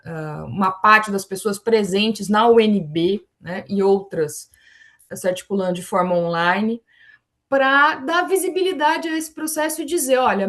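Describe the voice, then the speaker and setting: A woman's voice: mid-range voice, fairly bright tone. A Brazilian woman speaking as a forum panelist in an online academic presentation, explaining things and often adding small tags that seek agreement.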